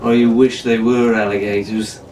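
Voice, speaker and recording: A cocky teenage boy pirate captain, as a storyteller voices him, who barks orders with quick-witted swagger. The storybook voice rings out in a roomy space, recorded from some distance with faint ambience behind. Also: mid-range voice, level tone, a little mellow, a little hiss or hum, pitched below the performer's own voice, natural voice. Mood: uneasy.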